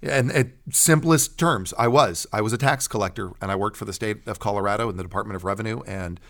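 Middle-aged American male pastor, lowish voice, emphatic preacherly phrasing, telling his own story as an interview guest. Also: fast, a bit bright. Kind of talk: personal testimony in an interview.

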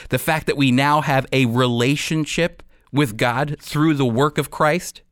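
A man's voice: fairly high pitch, a bit bright, no room sound, clean studio sound. A man giving sermon-like Bible teaching as a radio host, in an earnest, emphatic manner.

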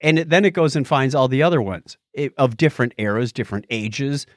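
A man's voice: mid-range voice, brisk, no echo, studio quality. A middle-aged man co-hosting a podcast, chatting casually and recounting personal anecdotes. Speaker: podcast co-host.

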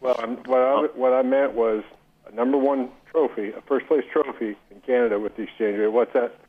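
A man from Texas calling into a radio show, halting and rambling as he asks questions. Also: medium pitch, fairly even intonation. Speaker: caller. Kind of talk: call-in question. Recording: tinny phone line.

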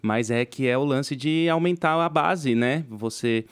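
Um homem com sotaque brasileiro apresentando um podcast em conversa informal. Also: male voice, medium pitch, a little slow, clean tone, no room sound. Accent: Brazilian. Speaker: podcast host. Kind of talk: casual podcast chat.

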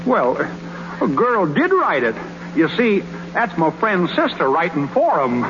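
An actor playing a naive, boastful young country baseball pitcher in a 1930s radio comedy, talking in a folksy, ungrammatical, earnest way. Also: male voice, wildly swooping pitch, a little slow, heavily acted. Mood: evasive, earnest. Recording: noisy muffled 1930s radio recording.

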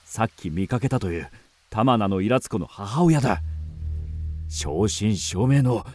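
An actor playing a rough, superstitious warrior of old Japan, speaking bluntly and coarsely in a radio drama. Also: male voice, on the low side, lively intonation, a little slow, a little mellow, faint music behind. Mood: alarmed, pleading. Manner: coarse rustic male speech, blunt.

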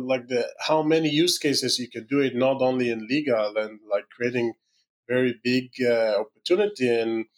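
A middle-aged man with an Israeli accent, a startup founder answering podcast questions in halting English full of "you know" fillers. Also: medium pitch, low-quality recording. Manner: halting, many "you know" fillers, non-native grammar.

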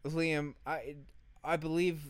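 A man's voice: on the high side, steady tone, slow pace, smooth, dry recording. A man co-hosting a podcast with casual comedic banter, swearing freely and stressing his words like a rant. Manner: profane, emphatic, ranting delivery. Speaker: podcast co-host.

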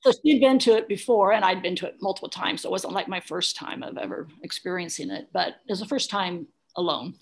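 A woman's voice: on the low side, brisk, hardly any pauses. A middle-aged woman talking as an interview guest, recounting personal experience in a plain-spoken, matter-of-fact way. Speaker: interview guest, adoptive single mother.

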